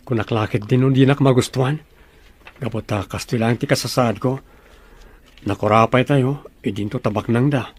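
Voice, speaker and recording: A man's voice: mid-range voice, normal speed, slightly rough, natural voice. A man acting a humble, bashful young farmer in love, in a radio-style drama. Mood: earnest.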